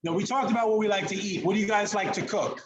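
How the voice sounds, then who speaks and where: A man's voice: sits high, fast. An older man chatting casually with children as a chef, encouraging and affectionate, often repeating answers back. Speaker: chef and guest presenter. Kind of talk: casual interactive chat with children. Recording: echoey room with clear background noise.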